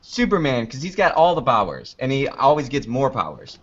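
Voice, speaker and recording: A man's voice: mid-range voice, very animated, fairly quick, fairly bright tone. Playful male podcast guest, dull lo-fi audio. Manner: playful, joking. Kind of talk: casual chat.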